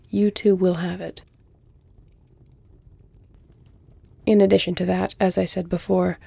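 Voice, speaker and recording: Woman acting a centuries-old female vampire, audio roleplay, dark mellow tone, very close dry sound, measured, teasing, breathy near-whispers. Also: fairly low pitch, no echo. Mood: explanatory, serious. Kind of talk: intimate audio roleplay, scripted dramatic acting. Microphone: close, dry studio-style mic.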